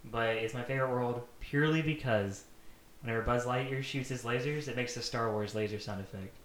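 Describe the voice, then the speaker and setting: A man's voice: mid-range voice, fairly quick, fairly bright tone. A man co-hosting a podcast in casual, loosely phrased conversation, peppered with filler words. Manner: conversational, frequent use of "like". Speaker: podcast co-host. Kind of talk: casual panel chat. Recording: echoey room with faint noise.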